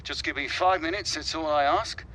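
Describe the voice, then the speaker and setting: An encouraging, bright-voiced father. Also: on the high side, moderate pace, a little hiss or hum. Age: middle-aged. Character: encouraging middle-aged father of a footballer. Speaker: actor in a video game. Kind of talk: cinematic game acting.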